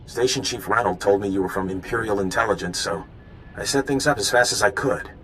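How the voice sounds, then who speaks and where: A man playing an earnest, eager undercover informant in a video game, hurried and slightly awkward, with rumbling noise behind him. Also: a bit bright, rough recording. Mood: relieved, eager. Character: earnest, eager male rebel informant, secret agent.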